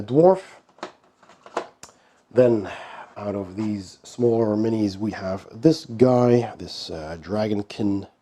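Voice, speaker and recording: Hoarse man with a slow, dark voice. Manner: unhurried, low-key describing with many pauses. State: hoarse from a bad cold. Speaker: board game channel host. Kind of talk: casual unboxing commentary.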